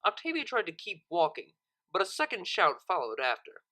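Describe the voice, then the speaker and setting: A narrator reading a scripted story audiobook in an expressive, dramatic storytelling manner. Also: mid-range voice, fairly quick.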